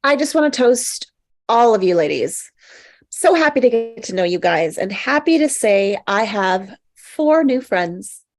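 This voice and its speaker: A woman acts out a frustrated, awkward comedy bridesmaid who rambles when exasperated. Her voice sits fairly high and swings up and down in a lively way. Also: unhurried. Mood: eager to please. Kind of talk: screenplay table read acting.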